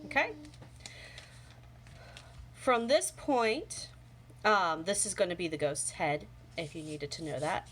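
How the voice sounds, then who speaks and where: A young woman with a slightly hoarse voice from a cold, casually explaining origami folds step by step at home, with many ums. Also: lowish voice, expressive, slow pace, pauses often, fairly bright tone. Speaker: origami tutorial host. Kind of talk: casual how-to demonstration. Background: faint home sounds, toddler playing.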